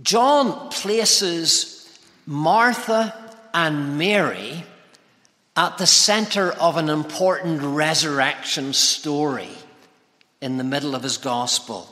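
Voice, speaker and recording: Male preacher, unhurried pace, forceful bright tone, emphatic sermon delivery, long hall reverb. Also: on the high side. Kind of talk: expository sermon. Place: large reverberant church or hall.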